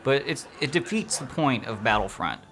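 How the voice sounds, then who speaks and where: A man's voice: mid-range voice, expressive, normal speed, fairly bright tone. A man narrating an opinionated video game review in an informal, slangy, sarcastic way.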